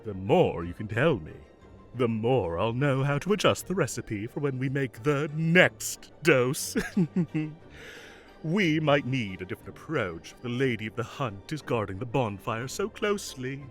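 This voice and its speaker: Man acting as a theatrical, animated fae king. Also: medium pitch, soft background music. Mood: eager, scheming. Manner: theatrical, halting when flustered. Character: mischievous, evasive male fae king of summer, secretly fond. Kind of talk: scripted audio roleplay acting.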